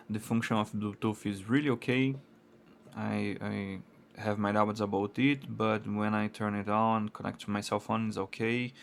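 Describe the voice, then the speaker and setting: A married man from Brazil whose first language is Portuguese, reviewing an amplifier in halting English with a Brazilian accent and frequent "uh" fillers. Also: lowish voice, clear, resonant tone. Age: adult, married. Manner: halting non-native English with many uh fillers. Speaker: product reviewer. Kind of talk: casual product review.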